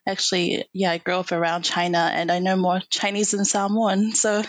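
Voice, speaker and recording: A woman's voice: lowish voice, little pitch movement, moderate pace, no echo. A young woman from New Zealand, raised in Hong Kong, talking casually as a podcast guest from home, laughing often between fillers. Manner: giggly, with many fillers and laughs. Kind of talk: casual interview talk.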